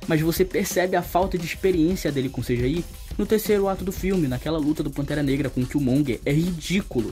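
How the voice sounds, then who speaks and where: A man's voice: on the high side, mellow, slightly rough. Young Brazilian man giving opinionated commentary. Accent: Brazilian Portuguese. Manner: colloquial, emphatic when criticizing. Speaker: video essayist. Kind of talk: opinionated commentary, casual video essay. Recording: voice-over over background music. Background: music.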